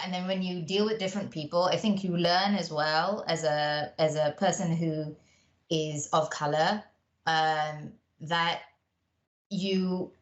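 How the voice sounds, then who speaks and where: A reflective woman podcast guest from Malaysia, speaking with a British accent in a fairly low voice. The sound is noticeably roomy, and she talks conversationally, tagging thoughts with "right?". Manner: reflective, often adds "right?" as a tag. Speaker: podcast guest, mentor and coach. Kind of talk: conversational interview.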